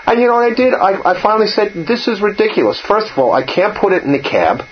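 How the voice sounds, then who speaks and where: An older man with a low voice, hosting a casual radio chat and telling stories with lively jumps in pitch. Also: quick pace, lo-fi sound, a little hiss or hum. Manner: storytelling, animated, jumps up in pitch.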